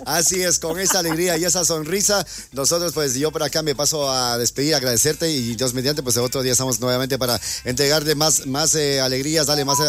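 Agreeable male radio host over faint music. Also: mid-range voice. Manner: repetitive, agreeable, courteous. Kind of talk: live radio patter with sponsor promotion.

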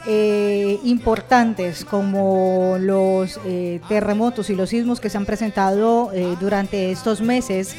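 High-voiced Colombian woman radio host. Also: fairly even intonation, slow, hardly any pauses, dark tone. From Colombia, Cali. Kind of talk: live radio presenting, news update. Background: music behind the voice.

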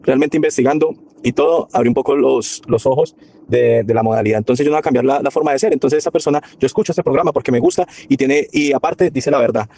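Male listener, mid-pitched mellow voice, brisk but halting, earnest unscripted opinion. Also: no room sound. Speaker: radio show listener. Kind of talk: spontaneous opinion.

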